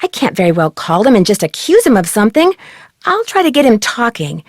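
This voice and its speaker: A woman's voice: a little high, big pitch swings, fairly quick, dry recording, clean studio sound. A woman playing a level-headed, determined teenage girl detective in a video game, speaking in a composed, friendly, matter-of-fact way. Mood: focused, determined.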